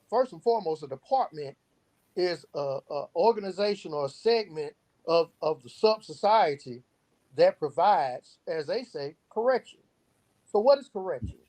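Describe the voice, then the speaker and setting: Guy on a podcast, kinda high voice, earnest explainer, asks questions then answers them. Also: darkish tone, poor audio. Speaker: founder of a youth outreach organization, podcast guest. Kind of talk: earnest explanatory interview talk.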